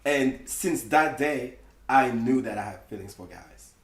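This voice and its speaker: A French-born man, an interview guest, chatting in a flamboyant, animated way and laughing often. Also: medium pitch, unhurried. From France. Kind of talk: casual conversation. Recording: echoey room, distant mic.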